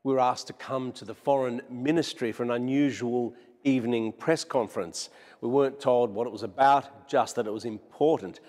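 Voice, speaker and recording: A man's voice: mid-range voice, fairly even intonation, normal speed, a little mellow. A man giving an explanatory news report as a foreign correspondent. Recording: long reverberant room echo.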